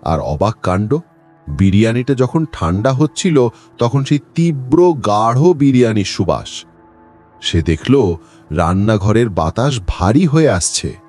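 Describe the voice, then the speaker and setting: A man's voice: fairly low pitch, sing-song, unhurried, a little mellow, faint music behind. A man narrating a horror cartoon story in a dramatic, storytelling way. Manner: dramatic storytelling with an audience question.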